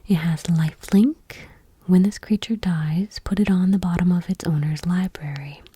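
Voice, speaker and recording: A woman doing gentle ASMR, reading aloud in a whispery, breathy, deliberate voice close to the microphone. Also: fairly low pitch, steady tone. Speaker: ASMR video creator. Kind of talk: soft-spoken ASMR, reading trading cards aloud. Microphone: close microphone, dry.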